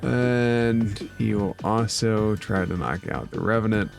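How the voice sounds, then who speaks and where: Slow, easygoing male game master. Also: fairly low pitch, creaky, soft background music. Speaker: dungeon master. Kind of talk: narrating a tabletop game.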